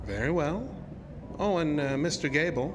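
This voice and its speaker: An actor playing a suave, sinister carnival ride builder in a horror audio drama, speaking formally and with smug, unhurried politeness. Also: male voice, a little high, animated, background noise, natural voice. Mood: smooth, ominous. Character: suave, sinister male magician's mechanic and carnival ride artist.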